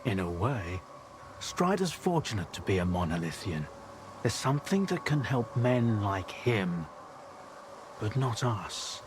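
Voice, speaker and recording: An actor playing a weary, protective male doctor in a video game, talking in a grave and measured way. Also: fairly low pitch. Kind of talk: video game cutscene acting. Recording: noisy outdoor ambience, insect-like buzzing.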